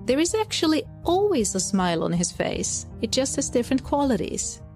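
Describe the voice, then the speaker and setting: A woman narrating a satirical audio drama in a wry, measured way. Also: sits high, very animated, darkish tone, faint music behind. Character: wry female storyteller of heavenly satire.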